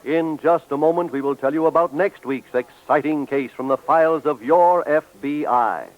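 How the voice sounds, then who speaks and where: A man's voice: on the high side, normal speed, soft background music. A man announcing in a formal, polished, scripted 1940s radio style as a sponsor's spokesman. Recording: dull old radio recording.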